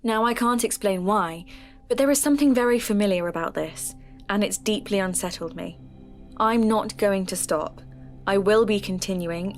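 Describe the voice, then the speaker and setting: Female podcast narrator played by an actress, earnest and determined, measured confiding delivery for mystery audio drama, music bed. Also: mid-range voice, natural voice. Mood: unsettled, resolute. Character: earnest, determined young woman podcaster investigating folklore mysteries. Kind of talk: scripted first-person audio drama narration.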